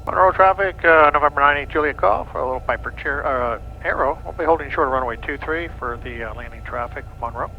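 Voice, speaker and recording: A man's voice: on the high side, fairly quick, hardly any pauses. A man working as a pilot, making radio calls and talking through checks in a small airplane cockpit. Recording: dull cockpit audio, engine noise.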